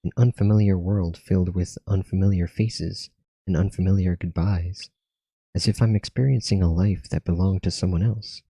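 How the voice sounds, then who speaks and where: A man's voice: deep voice, fairly even intonation, moderate pace, mellow, breathy edge, no room sound. A man reading aloud game dialogue as a dreamy, restless boy who longs for the outside world. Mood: wistful longing.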